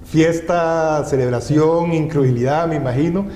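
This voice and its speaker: A man's voice: unhurried, warm tone. A man, interviewing someone in a conversational style. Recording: echoey room with rumbling background noise.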